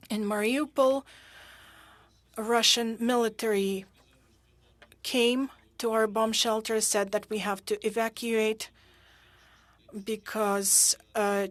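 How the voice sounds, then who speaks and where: A woman interpreting witness testimony at a hearing into English, halting and pausing often with fillers. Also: fairly high pitch, dry recording. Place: interpreter booth at a parliamentary hearing.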